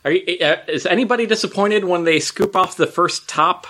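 Bright sharp voiced guy, playful wry podcast banter. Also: high voice, a little fast. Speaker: podcast co-host. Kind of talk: casual chat.

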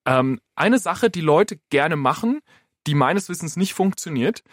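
A man's voice: a little high, lively intonation, a little slow, fairly bright tone, no room sound, clean studio sound. A young man coaching colleagues in casual, patient and direct explanatory talk. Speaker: expert colleague coaching the hosts.

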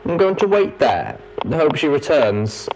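Dying young pony, played by a woman: forlorn, slow broken phrases, wildly varied pitch, noisy recorded-message sound, audio story. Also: sing-song, full character voice. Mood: forlorn, urgent.

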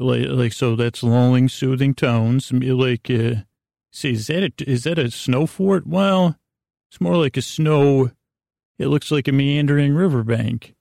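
Man, sleep podcast host, heavy vocal fry, gentle reassurance, halting digressive monologue, very dry room sound. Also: mid-range voice, no echo. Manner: halting, digressive, full of trailing asides and repeats. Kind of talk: rambling, meandering bedtime monologue.

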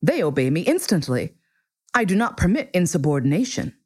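A woman's voice: lowish voice, very animated, a little fast, breathy edge. A woman voicing a proud, kindly but imperious king in a storybook reading, with formal, commanding phrasing. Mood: grand, absolute. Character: proud, pompous but kindly absolute monarch, male king.

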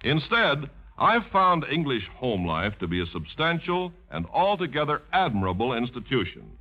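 A man giving a formal scripted 1950s radio speech in a measured, oratorical manner. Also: mid-range voice, very animated. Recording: muffled 1950s radio broadcast, music.

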